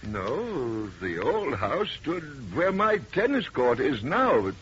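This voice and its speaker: An actor playing a bewildered, courteous middle-aged gentleman in a formal, dramatic old-time radio style. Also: mid-range voice, big pitch swings, takes it slowly, breathy edge, full character voice. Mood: incredulous. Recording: muffled, faintly noisy vintage radio recording.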